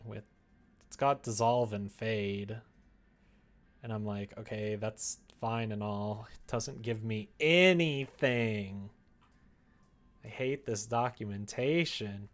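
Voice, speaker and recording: A man's voice: fairly low pitch, slow pace. A man streaming casually, talking to himself in a giggly, playful way as he works. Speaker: streamer working on a visual novel. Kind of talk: casual livestream chat, thinking aloud while working.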